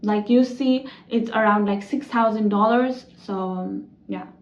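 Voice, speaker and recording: A woman's voice: mid-range voice, a little slow. Chatty young Nepali woman in an echoey room. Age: young adult. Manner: chatty, loose, heavy on "like" and "you know" fillers. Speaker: international student vlogger. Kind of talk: casual explainer vlog. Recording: roomy echo, slightly dull lo-fi sound.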